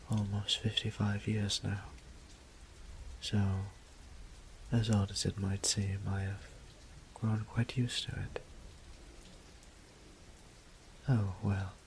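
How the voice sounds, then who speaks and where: Guy acting as a soft-hearted vampire priest, slow and gravelly, breathy near-whisper, formal and hesitant, rainy audio roleplay. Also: fairly low pitch, noisy background. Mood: weary, reassuring. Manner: hesitant, formal old-fashioned wording, tender. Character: gentle, apologetic centuries-old vampire priest, soft-hearted man. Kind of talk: intimate audio roleplay drama.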